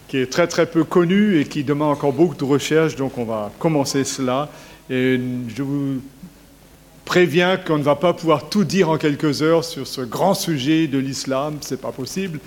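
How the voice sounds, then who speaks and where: A man, a pastor, giving an earnest, measured sermon to a congregation. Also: on the high side.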